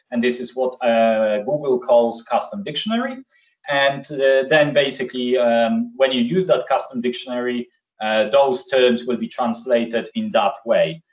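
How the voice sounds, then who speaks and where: A man whose first language is Polish, presenting a live software demo on a video call in a chatty way with many fillers. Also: medium pitch. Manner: chatty, filler-heavy, self-correcting. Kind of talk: live screen-share demo. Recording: distant, muffled video call audio.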